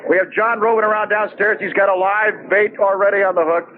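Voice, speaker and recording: High-voiced male host on tinny radio. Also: steady tone, brisk. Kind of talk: talk radio hosting. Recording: phone-like lo-fi 1980s radio recording, crowd behind.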